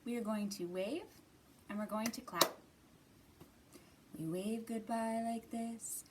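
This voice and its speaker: A woman hosting storytime for young children, reading and talking to them in simple, expressive phrases. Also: a little high, slow pace, very noisy. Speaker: children's library storytime host. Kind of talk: storytime read-aloud and singalong for young children.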